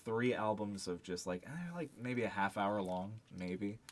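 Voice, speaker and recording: A man's voice: fairly low pitch, animated, a little slow. A man co-hosting a podcast, chatting casually about music in a slangy, rambling way peppered with filler words. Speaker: podcast co-host.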